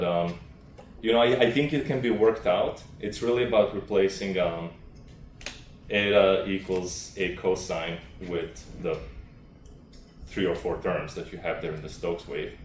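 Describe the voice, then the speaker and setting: Deep-voiced man in an echoey room. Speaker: speaker answering questions after a research talk. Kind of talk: academic question-and-answer, explaining off the cuff. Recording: roomy echo with faint noise.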